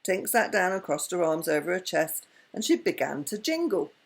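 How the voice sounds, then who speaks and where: Older woman with a crackly, animated storytelling voice. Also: on the low side, normal speed, vocal fry. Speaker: grandmother reading bedtime stories to her grandchildren. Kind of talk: expressive storybook reading and affectionate chat.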